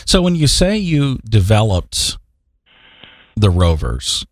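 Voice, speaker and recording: A male interviewer asks easy, probing questions. His low voice moves with lively inflection, and it is recorded dry with no room echo. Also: slow pace.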